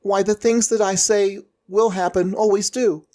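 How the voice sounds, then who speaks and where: A man's voice: high-pitched, fairly even intonation, a little mellow, pitched above the performer's own voice, lightly acted. A man voicing an earnest, determined young boy who speaks insistently in an audio story. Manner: earnest, insistent, quick bursts. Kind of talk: audio fiction character voice.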